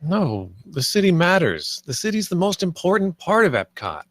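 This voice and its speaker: A man's voice: high-pitched, very animated, moderate pace, a bit bright, no room sound, studio quality, exaggerated voice. A man at a script table read, playing a polite, earnest theme park project leader. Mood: defensive.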